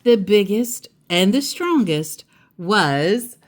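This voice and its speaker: A woman reading a children's picture book aloud in an expressive, playful way, with chatty asides. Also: mid-range voice, slow. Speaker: storytime host and narrator.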